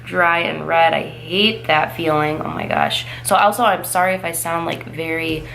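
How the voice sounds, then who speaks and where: A woman's voice: sits low, steady tone, moderate pace, vocal fry, a bit bright, a little hiss or hum, low-quality recording. A young woman in her twenties giving a casual makeup tutorial, chatty and upbeat with many filler words, sounding nasal as she gets over a cold. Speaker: beauty vlogger.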